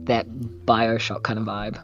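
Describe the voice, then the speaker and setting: A man's voice: fairly low pitch, completely flat delivery, measured pace, vocal fry, lo-fi sound, faint music behind. A man with a New Zealand accent chatting casually as a player in a tabletop game, tentative and thinking aloud.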